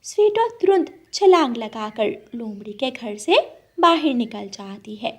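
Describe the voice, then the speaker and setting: A woman's voice: a little high, sing-song, measured pace. A woman narrator reading a scripted moral story for children.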